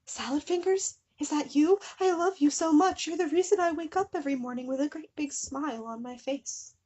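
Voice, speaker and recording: A woman's voice: sits high, fairly quick, darkish tone, pitched above the performer's own voice, lightly acted. A woman playing a lovestruck teenage fangirl from a fan-fiction story, gushing and melodramatic. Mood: adoring.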